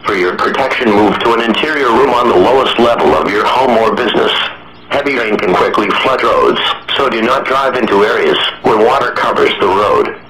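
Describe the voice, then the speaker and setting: A synthetic male voice reading formal emergency weather alerts over the radio in a measured, impersonal way. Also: medium pitch, expressive. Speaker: automated weather radio announcer. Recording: echoey, noisy weather radio broadcast with clicks.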